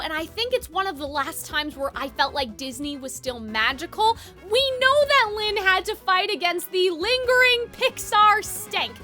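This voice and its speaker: A woman's voice: very high-pitched, pushed and bright. A woman giving opinionated, conversational video essay commentary as the host. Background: background music.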